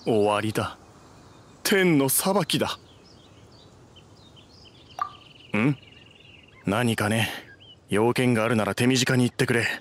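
An actor playing a grumpy, short-tempered middle-aged book collector in an anime video game, curt and pompous. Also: male voice, medium pitch, a little slow, slight background noise, close to an everyday voice.

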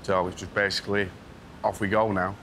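A man's voice: low voice, flat delivery, a little fast. A man who inspects railway carriages, explaining his checks in a practical, plain-spoken way. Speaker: carriage examiner. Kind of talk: casual interview. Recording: noisy workshop ambience.

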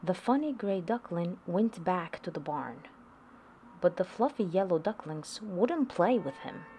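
Female storybook reader for young kids, unhurried and deliberate, slightly low pitch, faint noise behind. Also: animated, warm tone. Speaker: host reading picture books to children. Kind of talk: read-aloud storybook narration.

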